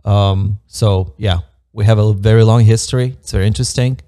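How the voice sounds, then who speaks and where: A man's voice: fairly low pitch, fairly even intonation, a little fast, mellow. A man from Moldova, a native Romanian speaker with a Moldovan accent, chatting casually as a guest, hesitant with many ums and easy laughs. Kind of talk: casual chat.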